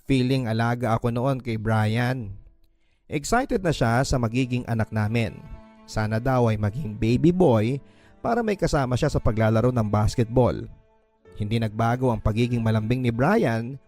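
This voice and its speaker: A man's voice: medium pitch, moderate pace, a little mellow. A man with a Filipino accent narrating a first-person letter as dramatic radio storytelling. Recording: background music.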